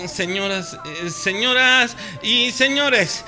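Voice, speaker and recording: A man's voice: sits high, animated, slow pace, sharp tone, soft background music, exaggerated voice. A man playing a slick street peddler of miracle cures in a radio soap, with a Mexican accent and repetitive barker's patter. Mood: flustered, evasive. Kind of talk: radio soap opera acting.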